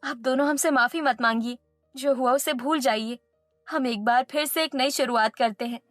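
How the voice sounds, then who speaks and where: A woman's voice: high voice, normal speed, fairly bright tone, no echo, clean studio sound, faint music behind. A woman playing a meek, suffering young daughter-in-law in a Hindi cartoon story, plaintive and earnest. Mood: gentle pleading.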